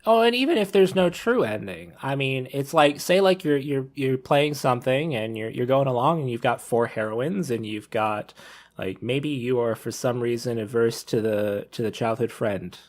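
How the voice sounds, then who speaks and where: Man, medium pitch, podcast panelist, casual discussion, rambling and hesitant delivery. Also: expressive, normal speed.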